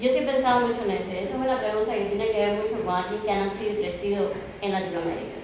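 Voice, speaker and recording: A woman's voice: medium pitch, fairly even intonation, racing, distant mic. A woman from Cuba with a Cuban accent, speaking earnestly as a panelist artist and restarting phrases as she goes. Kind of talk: reflective panel discussion. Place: exhibition space, indoors. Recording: echoey room with background noise.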